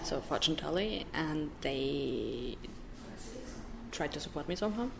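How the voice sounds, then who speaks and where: A woman with a German accent, German being her first language, talking haltingly as an interview guest, with many pauses and restarts. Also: deep voice, lively intonation, creaky, a crowd in the background. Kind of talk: personal interview.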